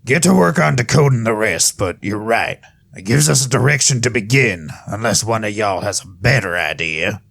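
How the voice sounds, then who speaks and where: A man's voice: lowish voice, animated, moderate pace, gravelly, fairly bright tone, dry recording, exaggerated voice. A man voicing a stern, blunt and commanding griffin lawman in an audio drama.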